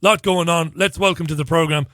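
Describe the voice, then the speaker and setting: Hoarse male talk host, dry close mic, chatty and wry, cuts himself off mid-thought. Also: fairly high pitch, fairly even intonation, brisk, a bit bright, no room sound. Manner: chatty, wry asides, self-interrupting. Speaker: radio show host. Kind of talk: casual talk radio monologue.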